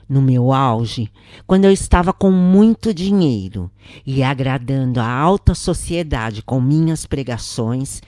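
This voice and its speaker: A woman's voice: low-pitched, mellow, no room sound, studio quality. A woman with a Brazilian accent narrating a scripted first-person spiritual account.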